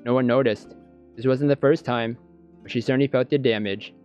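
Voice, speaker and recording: A man's voice: mid-range voice, steady tone, brisk, darkish tone. Dull-sounding man narrating a visual novel. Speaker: gaming YouTuber reading a visual novel aloud. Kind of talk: let's play narration with off-the-cuff reactions. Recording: dull lo-fi audio. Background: guitar background music.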